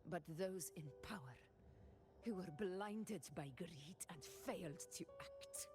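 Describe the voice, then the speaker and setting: Low-pitched, solemn warrior woman. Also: takes it slowly. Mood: bitter, grieving. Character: proud, solemn woman warrior haunted by her lost hometown. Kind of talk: video game voice acting, storytelling. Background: game music.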